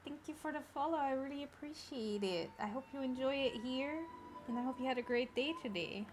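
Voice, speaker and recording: A woman's voice: high-pitched, a little fast, loud music behind the voice. A woman from Belgium with a Flemish accent, whose first language is Dutch, chatting casually with her live-stream viewers and greeting them as she plays.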